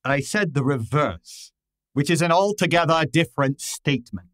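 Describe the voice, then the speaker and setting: A man playing a pompous, imperious middle-aged genius detective, precise and deliberate, in an old-time radio drama style. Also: fairly high pitch, lively intonation, a bit bright, no echo. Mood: imperious, exasperated.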